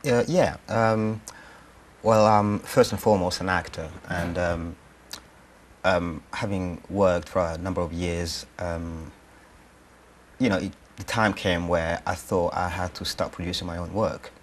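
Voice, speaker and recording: Low-voiced man, hesitant. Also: fairly even intonation, unhurried, slight background noise. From Rwanda. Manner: hesitant, thinking aloud. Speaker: actor-writer being interviewed. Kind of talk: conversational interview answers.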